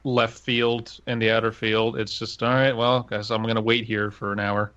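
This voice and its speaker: A podcast host, a man with a fairly low voice, chats in a casual comedic way. He grumbles and curses freely. The sound is a bit dull and lo-fi. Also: level tone, normal speed, fairly bright tone, clean tone. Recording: slightly dull, lo-fi microphone sound.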